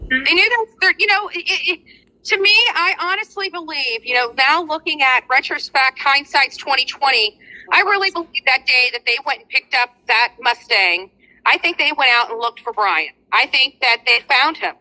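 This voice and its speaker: A woman in a casual livestream discussion on a video call, giving her view haltingly and repeating words. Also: sits high, animated, bright, forceful tone, poor audio. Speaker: livestream panelist. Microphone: video call replayed from a phone.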